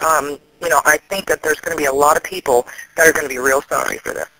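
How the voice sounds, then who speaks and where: A man, an activist, explaining things in a serious phone conversation, often halting and restarting. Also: a little high, fairly even intonation, sharp tone, dry recording, poor audio.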